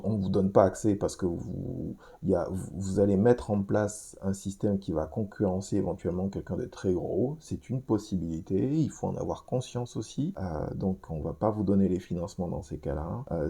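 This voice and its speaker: Low gravelly man in his fifties. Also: mellow. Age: 50s. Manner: rambling, hesitant with many restarts and fillers; addresses listeners directly. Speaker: podcast host. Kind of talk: film review podcast.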